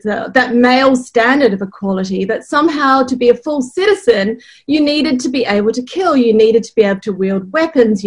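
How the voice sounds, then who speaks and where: A middle-aged woman from New Zealand, an academic panelist speaking reflectively in a panel discussion. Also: fairly high pitch. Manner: reflective, explanatory, with fillers. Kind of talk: panel discussion over video call.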